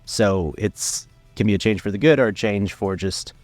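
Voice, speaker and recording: A young man talking casually as an interview guest, relaxed and rambling, with many fillers and restarts. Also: fairly low pitch, moderate pace, no echo, faint music behind. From United States, raised in Venezuela. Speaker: filmmaker guest. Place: studio.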